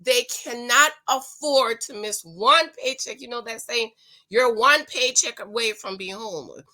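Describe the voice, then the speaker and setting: A hoarse woman with a raspy voice, giving preachy, emphatic relationship commentary straight to her viewers. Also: a little high, a little slow, sharp tone. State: hoarse, voice still recovering. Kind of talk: casual talk to camera.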